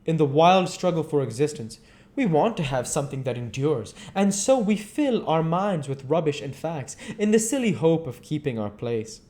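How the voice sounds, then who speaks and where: A man voicing a witty, cynical gentleman aesthete in a storybook reading, pompous and teasing. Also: fairly high pitch, sing-song, normal speed. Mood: cynical, musing.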